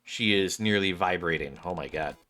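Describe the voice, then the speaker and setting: A man's voice: low-pitched, normal speed, bright, forceful tone, soft background music. A man streaming a game, reading its dialogue aloud and adding casual commentary.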